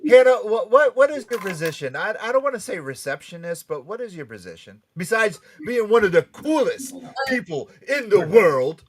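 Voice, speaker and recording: An animated host bantering casually on a panel show. The pitch swings widely, and the delivery is excitable, with stammers and repeated phrases. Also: normal speed, hardly any pauses.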